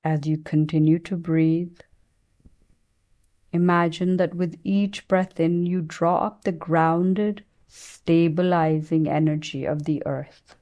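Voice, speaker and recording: A woman guides a meditation in a soft, very mellow voice. She speaks very slowly, leaving long pauses between phrases. Her pitch sits a little low, and her delivery is soothing and gentle. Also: little pitch movement.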